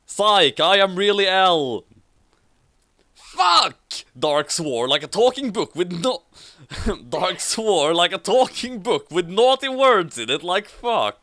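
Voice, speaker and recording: Woman reading with wildly swooping pitch. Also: very animated, takes it slowly, fairly bright tone. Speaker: co-host of a comic fan fiction reading. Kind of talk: dramatic fan fiction reading with chat.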